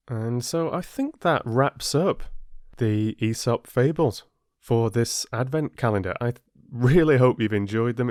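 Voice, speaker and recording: A man hosting a storytelling channel, chatting gently and casually to his listeners in an unhurried way. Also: sits low, smooth, no room sound, clean studio sound. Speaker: audiobook storyteller and channel host. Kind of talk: casual, appreciative chat to listeners.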